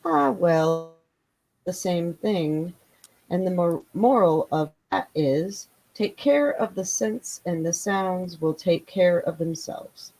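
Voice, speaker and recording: A woman's voice: fairly low pitch, slow pace, dark tone, low-quality recording. A woman playing an affectionate, moralizing Duchess in a storybook read, with a sententious manner. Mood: chummy, moralizing.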